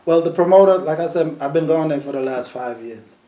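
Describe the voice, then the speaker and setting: Man, mellow voice, laid-back storytelling, roomy echo. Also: fairly high pitch, a little fast. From New York. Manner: relaxed, storytelling, repeats words. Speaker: sound system selector. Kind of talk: casual interview answers.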